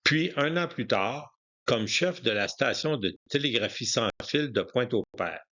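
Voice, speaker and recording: A man's voice: medium pitch, lively intonation, a little slow, sharp tone. Man narrating history, dull lo-fi recording. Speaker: documentary narrator.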